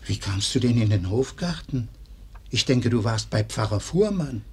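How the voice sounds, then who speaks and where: An actor playing a concerned guardian in a radio play, gently questioning a wounded youth. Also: male voice, mid-range voice, expressive, normal speed, breathy edge. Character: caring guardian Herr Meier, anxious and gentle.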